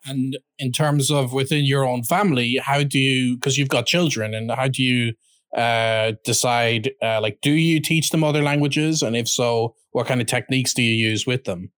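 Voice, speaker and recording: A man's voice: mid-range voice, steady tone, normal speed, a bit bright, no room sound. Man host asking questions, dry sound. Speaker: podcast host interviewer. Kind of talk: interview questions.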